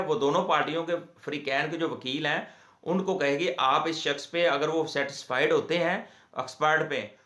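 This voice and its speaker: A man with a Pakistani accent giving an informal legal lecture to viewers in a halting, repetitive way. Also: on the high side, fairly bright tone. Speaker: legal explainer, host of a law channel. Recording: echoey, reverberant room sound.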